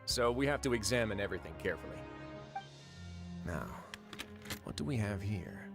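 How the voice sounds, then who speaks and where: A low-pitched, self-possessed duke in a video game. He speaks with measured courtesy and dry wit, shrewd and unhurried in manner, over a clear music bed. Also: animated. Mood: brisk, amused. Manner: measured, courteous, dryly wry. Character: composed, shrewd, wry duke ruling a prison fortress. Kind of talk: English video game voice acting.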